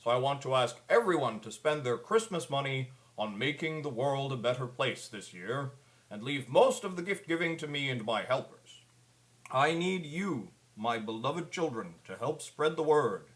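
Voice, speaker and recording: A man's voice: mid-range voice, lively intonation, moderate pace, close to an everyday voice. A man playing a kindly, concerned old Santa Claus, giving an earnest, pleading scripted public address. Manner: earnest, pleading storyteller delivery.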